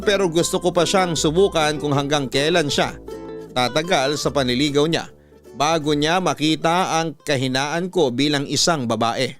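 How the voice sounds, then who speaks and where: Guy radio host reading someone's letter like a story, voice a bit high, music playing behind. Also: a bit bright. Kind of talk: first-person storytelling narration, scripted.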